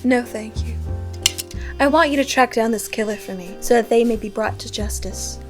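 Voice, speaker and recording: A woman's voice: on the high side, moderate pace, lightly acted. A woman playing an imperious, wealthy femme fatale in a noir radio play, speaking in formal, composed phrases. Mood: cool, commanding. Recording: music bed under voice, amateur audio.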